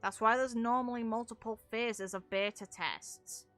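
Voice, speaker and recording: A woman's voice: on the high side, fairly even intonation, moderate pace, fairly bright tone, faint music behind. A young woman giving casual, opinionated game commentary in a blunt way, swearing freely. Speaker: gaming content creator.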